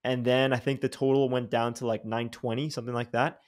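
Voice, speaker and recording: A young man hosting a podcast in a loose, animated conversation. He drops casual slang and restarts his sentences often as the thoughts tumble out. His voice sits at an ordinary pitch for a man. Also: fairly quick. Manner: animated, slangy, says dude and bro, many restarts. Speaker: podcast host. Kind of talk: casual interview chat.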